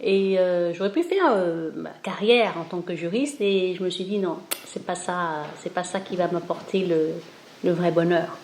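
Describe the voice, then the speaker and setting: A woman from Cambodia, speaking as an interview guest in a gentle, hesitant way with many pauses. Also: fairly low pitch, echoey room. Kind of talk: spontaneous interview, reflective talk.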